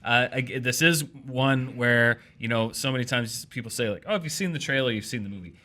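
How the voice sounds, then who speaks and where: Bright-voiced man hosting a movie review. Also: mid-range voice, a little fast. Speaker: movie review show host. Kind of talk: casual film review discussion.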